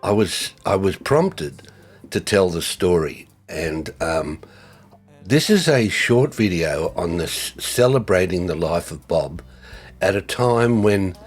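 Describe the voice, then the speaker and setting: An older man, giving a reflective tribute in a halting way with many fillers and restarts. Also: low-pitched, animated, breathy edge, soft background music. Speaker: former ministry co-worker.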